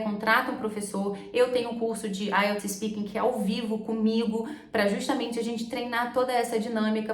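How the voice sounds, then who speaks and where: A woman with a Brazilian Portuguese accent explaining exam tips to the camera as an English teacher. She checks in with her listeners often. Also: fairly high pitch. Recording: echoey room.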